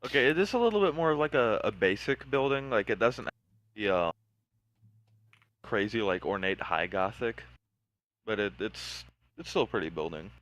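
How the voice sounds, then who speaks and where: A man's voice: on the low side, lively intonation, normal speed, long pauses, fairly bright tone. A man in a casual voice chat, explaining his building project hesitantly with many filler words.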